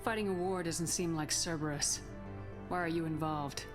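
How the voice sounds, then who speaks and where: A woman's voice: deep voice, steady tone, moderate pace, close to an everyday voice. An actress playing a tough, guarded female military commander in a video game, speaking bluntly and firmly. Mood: skeptical, firm. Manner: blunt, firm, questioning. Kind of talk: video game cutscene acting. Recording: music behind the voice.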